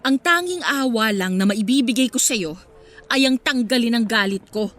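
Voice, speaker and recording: Radio drama role: betrayed young woman, high-pitched, biting sarcasm, soft music behind. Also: lively intonation, moderate pace. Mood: hurt, final. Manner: sarcastic, cutting. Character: hurt, sarcastic young woman confronting cheating boyfriend. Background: faint background music.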